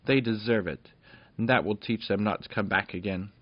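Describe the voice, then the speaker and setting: A man's voice: on the low side, normal speed, no room sound, lightly acted. A man voicing a young fairy princess, indignant and earnest, in a lifted voice while reading a storybook. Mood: scornful. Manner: indignant, sharp, pleading. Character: cross little fairy princess girl. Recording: dull dry lo-fi recording.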